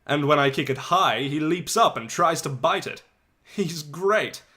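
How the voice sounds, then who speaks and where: A man voicing a chatty, wry eleven-year-old soccer-mad schoolboy in a dramatic storybook reading, conversational with animated asides and pauses. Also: a little high. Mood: proud, excited.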